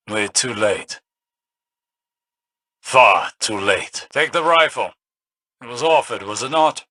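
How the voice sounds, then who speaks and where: Slow, stern, scholarly veteran. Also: male voice, medium pitch, sharp tone, dry recording. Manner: measured, formal, solemn. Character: grave, scholarly, stern veteran warlock commander. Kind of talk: video game voice acting.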